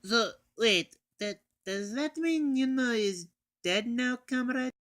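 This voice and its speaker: A man's voice: extremely high pitch, slow pace, fairly bright tone, pitched above the performer's own voice, full character voice. A man voicing an inquisitive, impatient special-forces soldier in a comic review, questioning everything bluntly. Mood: impatient, puzzled. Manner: questioning, blunt, calls others comrade.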